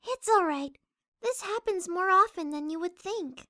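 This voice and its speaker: A woman voicing a timid, gentle young female pegasus pony in a cartoon-style story, apologetic and polite, with long reverb on the voice. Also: very high-pitched, animated, normal speed, full character voice. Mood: shy politeness.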